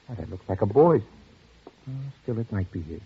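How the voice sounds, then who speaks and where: An actor playing a suspicious, fussy hotel clerk in a radio drama, cautious and hesitant. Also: male voice, on the low side, sing-song, a little fast. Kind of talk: old-time radio drama acting. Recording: muffled, noisy old radio recording.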